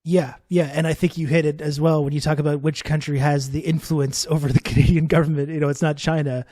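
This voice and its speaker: A young male host asks questions casually, pausing and filling gaps with "like." His voice is somewhat high for a man, with a flat, even delivery and a mellow tone, heard dry and close. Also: dry recording. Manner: casual, halting, many fillers like "like" and "you know". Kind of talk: political interview.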